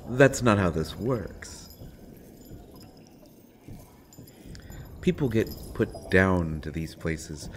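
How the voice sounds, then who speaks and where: Man playing a very deep, slow, sardonic dungeon villain. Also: lively intonation, a little hiss or hum. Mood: bitterly amused. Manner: theatrical, mocking, drawn-out deliberate phrasing with pauses. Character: sardonic imprisoned male villain taunting a captive princess. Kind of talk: scripted audio roleplay acting.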